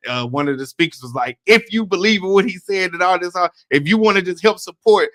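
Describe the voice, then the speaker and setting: A man co-hosting a sports podcast, talking in a relaxed, chatty way and laughing easily. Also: high-pitched, fast, dry recording. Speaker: podcast co-host. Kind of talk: casual podcast chat.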